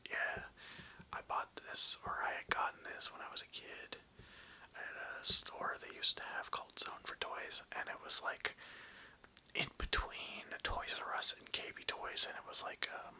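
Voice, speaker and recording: Whispering ASMR rambler, casual and breathy. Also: a little slow, pushed and bright, poor audio. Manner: casual, slangy, nostalgic. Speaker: ASMR video creator.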